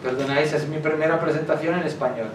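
A man's voice: fairly high pitch, fairly even intonation, quick pace. A man in his forties with an Italian accent, giving a talk in Spanish that is not his first language, gesturing and searching for words now and then. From Italy. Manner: gestures while talking, hesitant, searches for words. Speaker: guest speaker. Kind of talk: public lecture. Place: small lecture room in a civic centre. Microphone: far camera, no mic. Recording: echoey room from far off, noisy.

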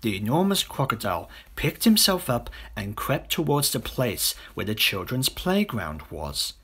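A man reading a children's story aloud as an expressive audiobook narrator. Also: mid-range voice, unhurried, breathy edge. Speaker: audiobook reader.

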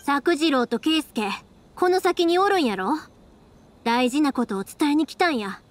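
A woman's voice: high voice, lively intonation, measured pace. A woman voicing a spirited, caring big-sisterly young woman in an anime game, with a Kansai accent. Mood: determined.

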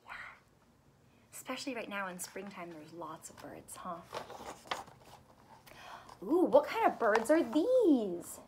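A woman in her thirties reading a picture book aloud to children in an expressive, sing-song, teacherly way. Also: mid-range voice, slow, long pauses, background noise. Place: small furnished room indoors.

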